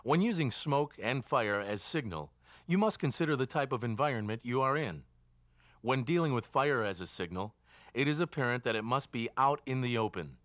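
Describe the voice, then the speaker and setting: A man reading scripted instructional narration for a training film in a measured, didactic way. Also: mid-range voice, expressive, fairly bright tone, no echo, studio quality. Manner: measured, didactic, enumerating points. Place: studio voice-over.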